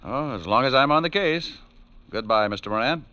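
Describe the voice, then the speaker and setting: An actor playing a wry, hard-boiled private detective, dry and sardonic, in a 1940s radio noir drama. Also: male voice, mid-range voice, lively intonation, brisk, close to an everyday voice. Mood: businesslike. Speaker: lead actor and narrator. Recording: dull vintage radio recording.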